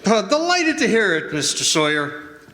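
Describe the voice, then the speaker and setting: A man playing a kindly old man who believes he is Santa, gently needling and unhurried, in a radio drama. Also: sits high, sing-song, heavily acted. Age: older. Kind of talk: stage radio drama acting. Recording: live hall reverb, faint noise.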